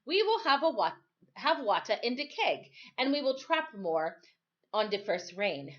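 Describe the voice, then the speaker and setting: A woman voicing a calm, patient older island sailor man in a storybook reading, with drawn-out, folksy phrasing. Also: a little high, highs cut off, voice pushed up. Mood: matter-of-fact. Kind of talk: storybook read-aloud.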